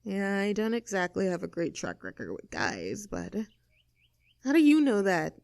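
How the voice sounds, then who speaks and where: A woman's voice: mid-range voice, wildly swooping pitch, moderate pace, gravelly, darkish tone, dry recording. A snooty young mean girl, acted out by a woman for an audio roleplay. She sounds bratty and sarcastic, always ready to take offence, with a mid-range female voice. The recording is dry, with no room around her words. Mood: confiding, guarded. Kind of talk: acted audio roleplay drama, addressing the listener.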